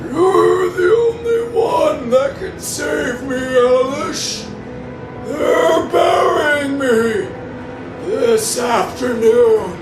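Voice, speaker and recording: Shrill, menacing man buried alive. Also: animated, full character voice. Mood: desperate pleading. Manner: drawn-out, menacing, theatrical. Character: accusing, pleading man about to be buried alive. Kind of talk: old-time radio horror acting. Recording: echoey, music underneath.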